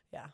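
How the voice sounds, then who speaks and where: A woman, chatting casually in a candid, slightly hesitant way. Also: a bit bright. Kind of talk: casual chat.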